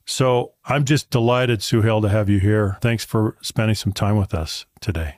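Guy hosting a podcast, fairly deep voice, measured and polite, really dry recording. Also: darkish tone, dry recording. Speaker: podcast host. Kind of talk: podcast introduction and interview.

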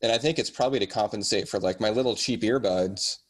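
A man with a low voice chats as a guest on a podcast. His delivery is casual and meandering, and he keeps softening and qualifying his own points. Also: fast, breathy edge. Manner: rambling, tentative, self-qualifying.

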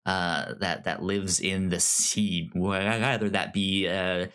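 A man co-hosting a casual film discussion podcast, speaking haltingly and often stammering over repeated syllables. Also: low-pitched, fairly even intonation, fairly bright tone, smooth. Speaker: podcast co-host.

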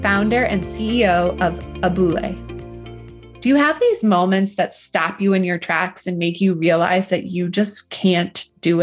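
A woman hosting a podcast interview, reading an upbeat introduction and then asking friendly questions. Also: mid-range voice. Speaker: podcast host.

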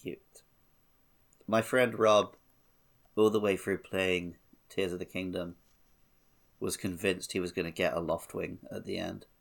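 Low, gravelly-voiced man. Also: steady tone, a little slow, long pauses. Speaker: podcast co-host. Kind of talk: casual gaming podcast chat.

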